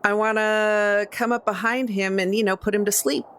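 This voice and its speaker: A woman's voice: medium pitch, steady tone, moderate pace, a bit bright, clear, resonant tone. A woman playing a tabletop roleplaying game, talking casually about what her character will do.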